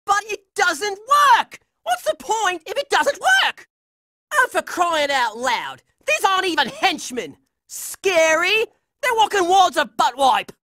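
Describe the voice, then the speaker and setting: A man's voice: very high-pitched, big pitch swings, slow pace, sharp tone, dry recording, clean studio sound, exaggerated voice. A man voicing a brash, hot-headed young boy fighter in a cartoon video game, belligerent and exclamatory. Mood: exasperated.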